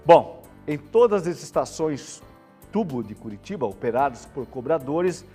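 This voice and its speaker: A man hosts a live variety show. His voice sits a little high, and his delivery is informal and colloquial. Light music plays in the background. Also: big pitch swings, measured pace, warm tone, breathy edge. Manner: chatty, colloquial, checks in with listeners. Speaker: television show host.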